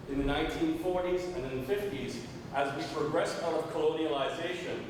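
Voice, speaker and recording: Man, professor, frank measured talk, echoey noisy hall. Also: a little high, recorded from a distance. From Jamaica, raised in Canada. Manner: frank, measured, with frequent restarts. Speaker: professor, symposium speaker. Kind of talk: academic conference talk.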